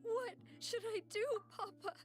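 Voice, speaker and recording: A high, youthful female voice playing an earnest young noblewoman in an anime game. She is dutiful and emotional, a leader carrying grief, and music plays underneath. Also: unhurried. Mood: grief-stricken. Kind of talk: anime-style video game drama acting. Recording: music behind.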